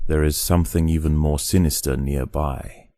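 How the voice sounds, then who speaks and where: A man delivering scripted nature documentary narration in a solemn, deliberate, dramatic manner. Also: a bass voice, steady tone, dark tone, dry recording. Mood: grave, ominous. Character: grave, measured male nature documentary narrator.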